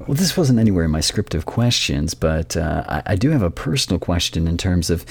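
Man, interview host, lowish pitch, dry studio-like sound, conversational, wordy considered phrasing. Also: very animated, fairly quick, gravelly, husky, no echo.

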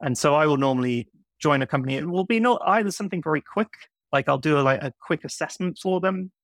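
A man's voice: fairly high pitch, animated, quick pace, no echo, studio quality. A middle-aged man with a blended British and American accent, explaining things casually as an interview guest. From United Kingdom. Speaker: podcast guest, software consultant.

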